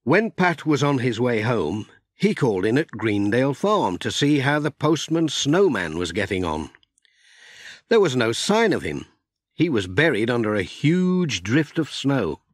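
A man narrating a children's story audiobook in an expressive storytelling manner. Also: medium pitch, unhurried, no room sound, clean studio sound.

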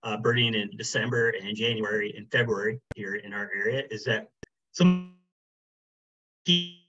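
Relaxed man on a muffled video call. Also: on the low side, normal speed. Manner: relaxed, many fillers like um and you know. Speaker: birding workshop presenter. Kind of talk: casual online workshop talk. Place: small room indoors. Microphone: video call webcam.

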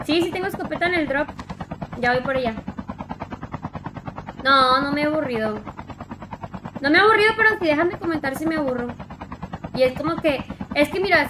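A young woman with a Mexican Spanish accent chats casually and slangily as she streams a game, often trailing off mid-thought. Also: high voice. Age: young adult. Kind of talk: casual livestream chat while gaming. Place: indoor room, alone. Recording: game vehicle and helicopter noise behind. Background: mobile shooter game sounds, helicopter.

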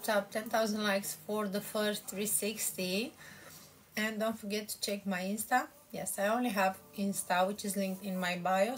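Romanian-accented woman chatting on a livestream. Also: medium pitch. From Moldova. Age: 30s. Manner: chatty, answers comments, soft laughs. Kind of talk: casual livestream chat with viewers. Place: bedroom in front of padded headboard, indoors. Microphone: phone camera at arm's length.

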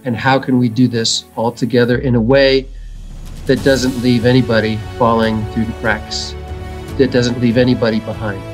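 Earnest middle-aged man explaining calmly. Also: medium pitch, normal speed, music in the background. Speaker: environmental educator guest. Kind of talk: interview answers. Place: home room. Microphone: video call.

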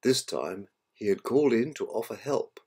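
An older man reads his own storybook aloud. His voice sits at a medium pitch, with the measured delivery of an amateur narrator rather than an actor. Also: expressive, a little mellow, husky. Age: 60s or older. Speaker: author reading his own book.

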